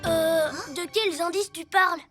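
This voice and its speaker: Squeaky little cartoon boy hero, excitable, music underneath. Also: measured pace. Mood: earnest. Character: excitable six-year-old boy superhero, naive and willing. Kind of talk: French cartoon dub acting.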